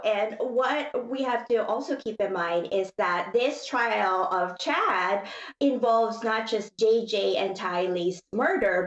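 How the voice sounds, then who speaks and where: A woman giving measured, explanatory commentary on a case as a legal analyst on a panel. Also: medium pitch, reverberant, dull audio.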